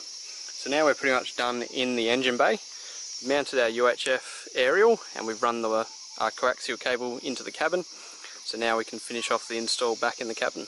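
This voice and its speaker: Relaxed man explaining a car install. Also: medium pitch, noisy background. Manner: relaxed, plain-spoken, frequent "uh" pauses. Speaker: DIY car install presenter. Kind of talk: casual how-to narration while working. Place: outdoors beside and inside a vehicle.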